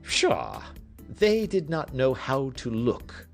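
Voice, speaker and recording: A brilliant gentleman detective from a classic storybook, acted out by a male narrator. He is self-assured and commanding, explaining things emphatically in a lively voice that rises and falls widely. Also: mid-range voice, slow pace, gravelly, faint music behind, pitched above the performer's own voice, exaggerated voice. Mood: brisk, commanding. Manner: emphatic, didactic.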